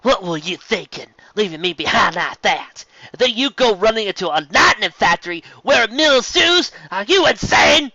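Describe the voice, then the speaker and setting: A man's voice: sits high, animated, normal speed, gravelly, few pauses, sharp tone, no echo, lo-fi sound, pitched above the performer's own voice, heavily acted. A man voicing a brash young female pegasus pony, teasing and scolding, emphatic and familiar, in a story reading.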